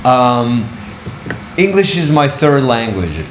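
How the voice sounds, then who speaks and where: A man from Bulgaria, an actor on a panel, talking lively and laughing between points. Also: mid-range voice, takes it slowly, echoey room, noisy background. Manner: animated, laughing, conversational. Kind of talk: panel discussion. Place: wood-panelled university room.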